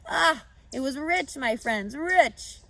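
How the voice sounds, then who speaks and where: A woman voicing a boastful, gluttonous male storybook rat, husky and hoarse, drawling with relish. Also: high voice, animated, takes it slowly, pushed and bright, no room sound, voice pushed up, full character voice. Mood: sated, gloating.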